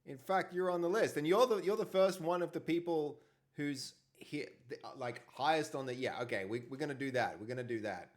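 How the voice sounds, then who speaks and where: A man hosting a casual live stream, talking with a big, loud voice and rambling, repeating himself. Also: on the high side, brisk.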